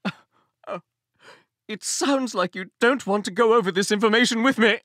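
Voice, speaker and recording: A man playing a pushy, pleading call-centre agent as a mock-Shakespearean dramatic reading, theatrical and drawn-out. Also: sits high, fairly bright tone, dry recording. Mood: wounded, coaxing. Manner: melodramatic, halting, drawn-out delivery.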